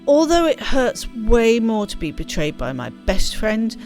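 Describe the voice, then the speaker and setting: A woman's voice: on the high side, very animated, measured pace, a little mellow, soft background music. Woman reading as bitter, betrayed teenage girl. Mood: bitter, hurt. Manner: bitter, dramatic self-talk. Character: wounded, betrayed, self-blaming rebellious eighteen-year-old girl.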